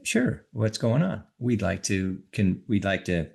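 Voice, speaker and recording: A man's voice: on the low side, very animated, measured pace, creaky, a little mellow, slightly rough. A man hosting an interview, enthusiastic and repeating his words as he talks. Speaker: interviewer host. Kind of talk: interview chat.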